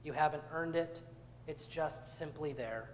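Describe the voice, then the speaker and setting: A man serving as pastor, leading the communion liturgy in a measured, solemn way, recorded from a far camera in a church sanctuary. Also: a little high, slight background noise. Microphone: far camera at back of church. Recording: church livestream with long reverb.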